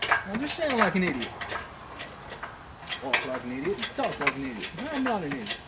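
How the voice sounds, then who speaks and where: Teasing country guy from oklahoma, kinda high voice, loud shop noise all around. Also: wildly swooping pitch, brisk. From Arkansas and Oklahoma, USA. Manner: teasing, joking country talk. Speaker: mechanic friend helping pull an engine. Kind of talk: casual chat while working. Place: home garage.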